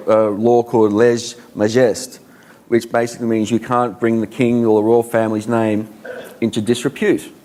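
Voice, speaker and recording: A man's voice: medium pitch, a little mellow. A man with an Australian accent giving a conference talk to an audience, conversational, with many fillers and rhetorical questions. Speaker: conference speaker.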